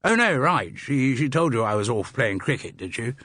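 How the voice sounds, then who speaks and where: A man's voice: medium pitch, big pitch swings, moderate pace, a bit bright, dry recording, studio quality, voice pushed up. Here is a man acting out a bluff, chubby Englishman of about sixty in an audiobook novel. His public school accent is hearty and jovial, full of chummy bluster, and it sits in a raised, pushed register.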